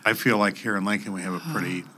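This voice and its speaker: A man asking questions in a relaxed, slightly hesitant way as an interviewer. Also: fairly low pitch, fast. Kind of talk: conversational interview. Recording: faint background noise.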